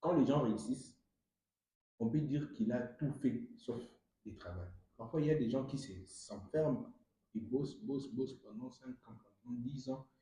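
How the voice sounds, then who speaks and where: A man's voice: medium pitch, moderate pace, warm tone. A young man hosting a casual conversational interview in a very echoey room. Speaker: podcast host.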